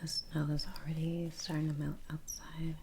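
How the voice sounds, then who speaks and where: A woman speaking in a hushed, gentle, whispered ASMR style, murmuring casual painting commentary with long pauses, close to the microphone. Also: deep voice, flat delivery, slight background noise. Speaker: ASMR creator painting on camera.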